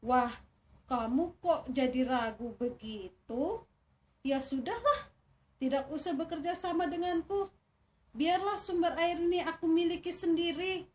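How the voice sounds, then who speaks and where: A woman's voice: very high-pitched, measured pace, pitched above the performer's own voice. A woman voicing a sly, scheming turtle in a children's fable, coaxing and exclamatory with false cheer. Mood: feigned offence. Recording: distant mic, faint noise.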